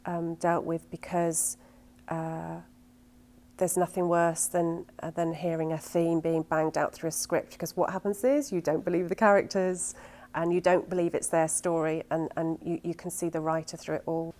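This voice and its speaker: A woman talks in a fairly low register with little melody. Her interview style is reflective and considered, with pauses as she offers professional advice. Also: little pitch movement, a little mellow. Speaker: radio drama producer giving writing advice.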